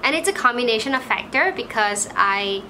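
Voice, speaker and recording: Bright-voiced young Malaysian woman vlogging. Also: fairly high pitch, brisk, a little hiss or hum, low-quality recording. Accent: Malaysian English. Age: 20s. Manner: chatty, frequent "like" fillers, animated. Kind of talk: casual storytelling to camera. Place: small room indoors.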